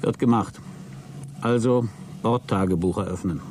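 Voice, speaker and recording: A man's voice: on the low side, unhurried, darkish tone, slight background noise. An actor playing a wry male astronaut in a radio play, giving clipped, matter-of-fact flight reports.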